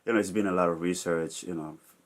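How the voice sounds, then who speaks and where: Deep-voiced man hosting an interview. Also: fairly even intonation. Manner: halting questions, restarts and "uh" fillers. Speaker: show host. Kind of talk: casual interview host.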